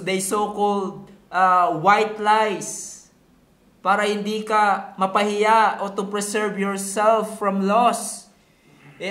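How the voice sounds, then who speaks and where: A man's voice: high voice, fairly bright tone. A man with a Filipino accent preaching a sermon in a didactic way, using rhetorical questions and repeating key words for emphasis. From Philippines. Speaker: pastor. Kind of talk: sermon mixing Tagalog and English. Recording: echoey room.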